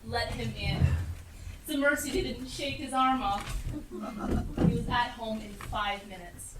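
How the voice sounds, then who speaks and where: A noisy, echoey live stage recording carries a woman as a storybook narrator. Her voice is fairly high, and she tells the tale dramatically from a little distance. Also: unhurried, close to an everyday voice. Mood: delighted. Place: theatre stage, live performance.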